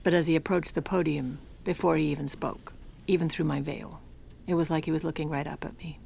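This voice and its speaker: A sardonic First Lady of a century ago in an audio drama. Her voice is very low and full of creak, with a dry, theatrical bite. There is clear noise around the recording. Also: steady tone, fairly quick, darkish tone. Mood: dread. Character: sardonic, proud American First Lady, Edith, 1910s. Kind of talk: audio drama acting with wry narration.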